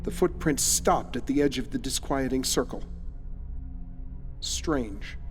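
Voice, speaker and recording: A man narrating a scripted horror mystery in a measured, literary, ominous manner, as the narrator of an audio drama. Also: mid-range voice. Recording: long reverb, music behind. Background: faint music.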